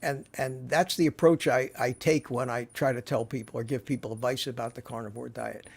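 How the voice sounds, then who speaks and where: A man in his seventies, a guest in a casual interview, telling stories in an animated, chatty way with frequent restarts. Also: medium pitch, a little fast, creaky, slightly rough. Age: 70s.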